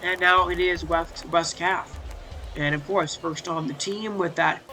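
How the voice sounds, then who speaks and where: A man's voice: a little high, steady tone, measured pace, sharp tone, a little hiss or hum, rough recording. A young man with a stuffy, congested voice doing chatty play-by-play commentary over a baseball video game, full of fillers and restarts and rising when a big play happens.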